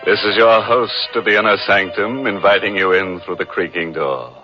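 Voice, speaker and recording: An actor playing a ghoulish host of a 1940s radio horror show, delivering grim puns with creepy relish. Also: male voice, fairly low pitch, normal speed, lightly acted. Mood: sinister. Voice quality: dark punning, creepy delivery. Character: macabre man hosting a radio horror show, punning and gleeful. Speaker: sinister radio mystery host. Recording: muffled old radio broadcast with music.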